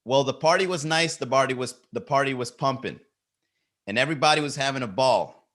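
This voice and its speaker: Male comedy podcast host, bright forceful voice, animated riffing, some swearing. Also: medium pitch, brisk. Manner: animated, swearing, rhetorical questions. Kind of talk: comedic riffing and lyric reading.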